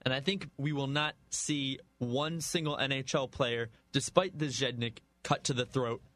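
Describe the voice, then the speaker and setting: A man's voice: mid-range voice, fairly even intonation, unhurried, fairly bright tone. A man presenting radio news, sports updates and sports talk as an anchor and host. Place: radio studio.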